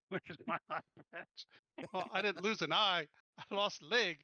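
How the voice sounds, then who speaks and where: A man plays a tabletop game in a fairly high, very sharp and bright voice. He talks casually. The track is dry but slightly dulled. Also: measured pace, no room sound, muffled recording.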